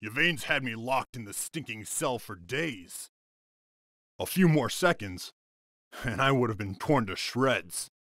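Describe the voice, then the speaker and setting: A man's voice: mid-range voice, lively intonation, measured pace, a bit bright, no echo, studio quality. A man playing a loyal, weary freed warrior in a video game, earnest and deferential to his leader. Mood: weary relief. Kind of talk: dramatic video game cutscene acting.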